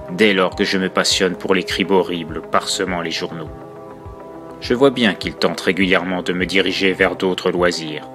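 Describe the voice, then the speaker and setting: A man reciting a horror poem as a morbid, tormented young narrator, in a solemn, measured, literary way. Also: deep voice, close to an everyday voice. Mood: brooding, tormented. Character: morbid, tormented child narrator with murderous urges. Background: music.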